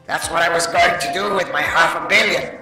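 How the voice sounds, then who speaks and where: A man's voice: fairly high pitch, fairly quick, lightly acted. A man playing an earnest, battle-worn robot soldier who argues emphatically in an amateur comic dub. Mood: earnest, pained. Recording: echoey room, faint music behind.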